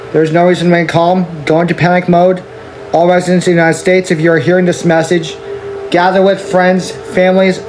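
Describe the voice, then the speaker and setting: A solemn government official played by a man delivers an amateur doomsday emergency announcement. His voice sits a little high, and he speaks haltingly, repeating and stumbling over phrases. Faint noise runs under the recording. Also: natural voice. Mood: grave, halting. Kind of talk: amateur emergency broadcast acting.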